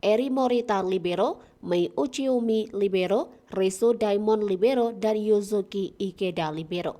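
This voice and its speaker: A woman reading a scripted sports news report in an even, read-aloud manner, her voice dropping at the ends of sentences. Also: mid-range voice, moderate pace. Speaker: news narrator.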